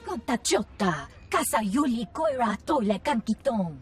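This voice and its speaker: An actress playing an evasive alien informant who chatters in a made-up alien language in a game cutscene. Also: female voice, a little high, sing-song, husky, soft background music, full character voice. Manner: rapid alien gibberish. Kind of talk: video game cutscene acting.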